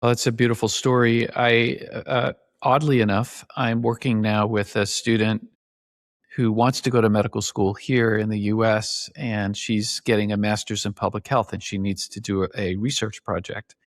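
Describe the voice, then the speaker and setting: A man's voice: on the low side, flat delivery, normal speed, no echo, clean studio sound. A man hosting an interview, an emergency physician who asks his questions in a thoughtful, reflective way. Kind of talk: interview conversation.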